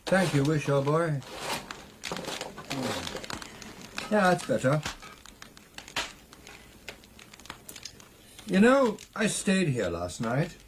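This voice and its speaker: An actor with a British accent playing a genial, earnest gentleman clubman in a radio drama, speaking in old-fashioned upper-class phrases. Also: fairly high pitch, sing-song, moderate pace, background noise. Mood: jovial, relaxed. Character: genial, earnest English gentleman clubman telling a ghost tale.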